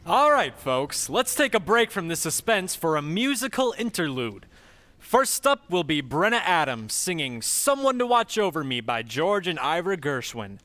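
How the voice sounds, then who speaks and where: A man's voice: fairly high pitch, sing-song, moderate pace, bright, forceful tone, no room sound. A man announcing as host of an old-time radio show in a scripted presenter style.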